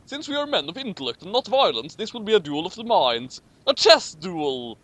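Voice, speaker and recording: A man's voice: high voice, very animated, normal speed, fairly bright tone, full character voice. A man voicing a pompous president who declares grand verdicts in a declamatory manner. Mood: grandly solemn.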